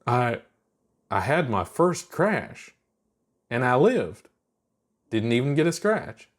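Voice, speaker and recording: Audio story role: keen young trainee astronaut performed by a man, lifted pitch, earnest and polite. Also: big pitch swings, slow pace. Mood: shaken relief.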